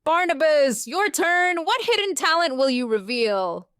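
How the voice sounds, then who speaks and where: Woman playing a high-voiced, bossy theatrical cat lady. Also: expressive, measured pace, a bit bright, no echo, clean studio sound. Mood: excited, grand. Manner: grand, exclamatory, dramatic. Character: theatrical bossy female cat organising a show.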